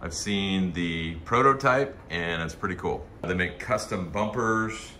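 A man's voice: deep voice, a little slow, pushed and bright. A man hosting a casual walkthrough of a parts warehouse and workshop, chatting eagerly as he points things out while filming. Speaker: host touring a shop. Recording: noisy shop recording.

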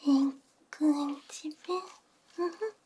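A woman doing an ASMR roleplay as a repair technician, murmuring softly with short hums, very close on a binaural microphone. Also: sits high, very slow, slight background noise. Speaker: ASMR host. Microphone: close binaural ear microphone.

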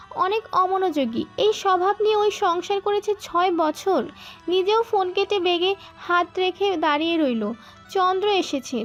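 A woman's voice: very high voice, highs cut off. A woman reading a scripted romantic story as its narrator.

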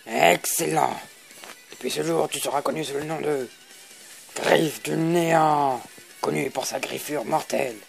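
Man acting as skeleton grouch, heavy gravel, theatrical, grumbling, comic dub, faint music. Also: fairly high pitch, a little slow. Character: pompous skeleton boss and his weary, sarcastic brother. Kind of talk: amateur French comic dub.

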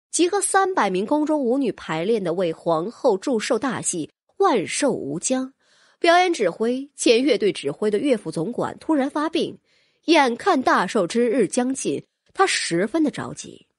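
A woman's voice: on the high side, big pitch swings, normal speed, dry recording, studio quality. A woman reading a fantasy novel as scripted audiobook narration.

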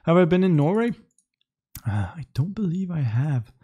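Relaxed chatty young Danish man. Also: fairly high pitch, normal speed, breathy edge. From Denmark. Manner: relaxed, chatty, frequent ums and light laughs. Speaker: livestream host answering chat questions. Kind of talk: casual livestream Q&A. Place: indoor home room in front of a webcam.

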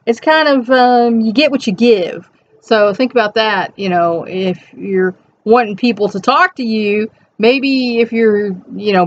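A middle-aged woman with a high, soft voice, chatting reflectively to camera at home with rambling, conversational asides. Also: a little hiss or hum. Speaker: host reading and commenting on an inspirational reflection. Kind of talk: casual reflective chat to camera with read-out passages. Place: small cluttered room at home. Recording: dull, lo-fi webcam sound.

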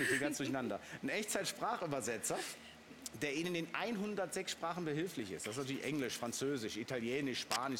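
A man's voice: fairly high pitch, a little hiss or hum. A middle-aged man presenting products on a shopping channel in a TV studio, joking and chatty. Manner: joking, lively, restless asides. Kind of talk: casual product demonstration. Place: TV studio stage.

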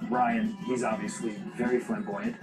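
A man's voice: medium pitch, flat delivery, normal speed, loud music behind the voice. Frank man in a TV confessional. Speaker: reality show contestant. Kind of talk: confessional interview.

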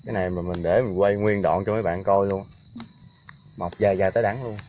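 A man's voice: low voice, steady tone, fast talker, lo-fi sound. A man with a southern Vietnamese Mekong Delta accent, chatting casually and exclaiming as he films outdoors on a phone, often repeating his phrases. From Ben Tre, southern Vietnam. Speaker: vlogger filming with a phone. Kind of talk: casual outdoor vlog chat. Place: outdoors in a rural coconut garden. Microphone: handheld phone behind the camera. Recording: noisy outdoor phone recording with insects.

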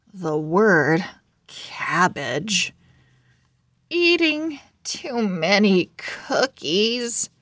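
A cheerful young storybook owl girl, voiced by a woman shares her diary. She speaks very slowly, stressing words with big animated swings in pitch. She is chatty and emphatic throughout. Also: no echo, studio quality, full character voice. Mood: emphatic dislike.

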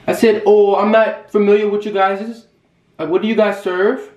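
A young man, vlogging in animated casual chat with a theatrical, excitable manner. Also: high voice, moderate pace, dull audio. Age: young adult. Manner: theatrical, excitable, bursts into shouting. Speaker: vlogger making prank calls. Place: small bare bedroom. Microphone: camera a little way off. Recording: roomy echo, music and chatter behind.

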